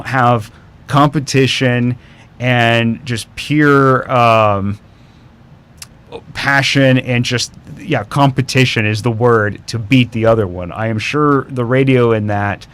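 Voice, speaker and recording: Male interviewee talking casually. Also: medium pitch. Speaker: radio history expert being interviewed.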